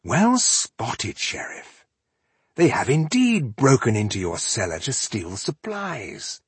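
A man's voice: mid-range voice, wildly swooping pitch, takes it slowly, breathy edge, no room sound, full character voice. A man voicing a suave, cruel elf-like warlord in a fantasy audiobook, velvety and mockingly polite in a conversational way. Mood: smug.

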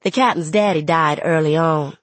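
A woman's voice: low voice, normal speed, no echo. A woman playing a plainspoken, wry, resentful young woman, telling her story in conversational dialect for an audiobook. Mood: wry, aggrieved. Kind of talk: first-person audiobook narration in character.

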